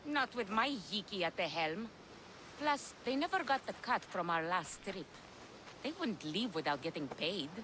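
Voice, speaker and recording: Brash seafaring rogue woman, acted by a woman for an ancient-world game; lively swings in pitch, blunt swagger, clear background noise. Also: moderate pace, natural voice. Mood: brash, roguish. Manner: blunt, brash, salty swearing. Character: gruff, foul-mouthed, swaggering female pirate captain of ancient Greece. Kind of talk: video game dialogue acting.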